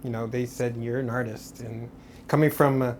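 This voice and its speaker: A man from Portugal, an artist guest, talking about his work in a relaxed, rambling way with many restarts. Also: medium pitch, moderate pace, a little hiss or hum. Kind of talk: casual interview.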